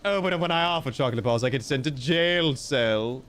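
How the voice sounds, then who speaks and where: A man's voice: mid-range voice, moderate pace, a bit bright, soft background music. A man streaming live, reading out viewer comments in a playful, theatrical way with mock-grandiose joking. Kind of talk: casual livestream chat reading viewer comments.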